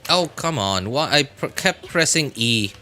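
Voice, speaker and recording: A man's voice: medium pitch, lively intonation, unhurried, bright, forceful tone, faint music behind. A man streaming a video game, chatting casually in a rambling, self-deprecating way. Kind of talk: casual livestream chat while playing a video game.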